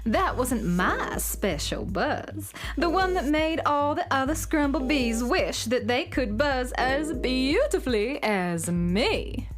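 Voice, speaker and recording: A woman's voice: sits high, sing-song, slow, hardly any pauses, music in the background, heavily acted. A woman voicing a vain, weepy little alien bee in a children's audio drama, dramatic and self-admiring. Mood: disappointed, boastful.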